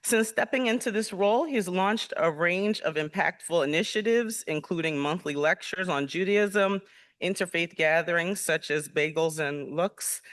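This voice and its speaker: A man who moderates the panel, reading formal introductions of the speakers at a steady pace. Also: on the high side, clear, resonant tone, no echo.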